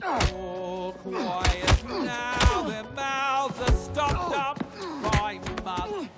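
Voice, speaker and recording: Solemn sung narrator, very slow and mournful, loud music underneath. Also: mid-range voice, very animated.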